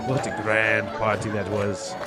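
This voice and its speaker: A man's voice: fairly low pitch, little pitch movement, a little slow, over loud music, close to an everyday voice. A man playing a grumpy, fearful old miser in a theatrical audio drama, exclaiming and stammering. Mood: wistful. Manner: exclaiming, stammering when frightened.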